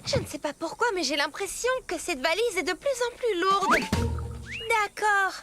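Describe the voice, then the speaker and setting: A woman voicing an excitable, cheerful young girl in a French-dubbed children's cartoon, with an expressive, exclamatory delivery. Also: very high voice, moderate pace, music in the background. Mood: bubbly.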